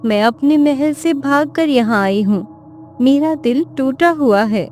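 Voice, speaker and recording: High, plaintive young princess voiced by a woman. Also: slow, a little mellow, slight background noise. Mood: heartbroken. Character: heartbroken young princess, guarded and emotional. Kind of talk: dramatized Hindi moral fairy tale.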